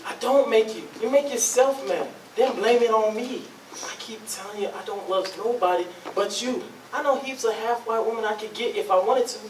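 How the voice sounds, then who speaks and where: A man playing a smooth-talking, placating lover in a staged play, speaking coaxing Southern folk dialect. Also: high voice, normal speed, lightly acted. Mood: sweet-talking. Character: smooth-talking, placating male sweetheart, easily hurt. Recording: roomy, distant mic, noisy.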